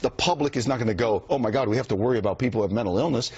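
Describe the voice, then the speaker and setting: Man, husky, lowish voice, panel commentator, halting then emphatic, dry dull audio. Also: a little mellow, no echo. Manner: halting, restarts phrases, then builds emphatic points.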